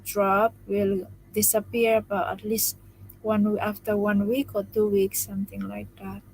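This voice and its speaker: Woman, slow pace, soft low-volume voice, tired after jaw surgery, live stream chat. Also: level tone, vocal fry, a little hiss or hum, low-quality recording. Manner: speaks softly, restarting phrases, laughing often. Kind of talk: casual live stream chat reading comments.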